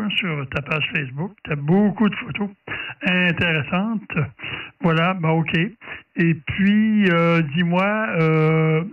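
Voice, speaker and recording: Higher-pitched Quebec man, distant tinny radio sound. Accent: Quebec French. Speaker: amateur radio operator. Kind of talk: casual ham radio contact.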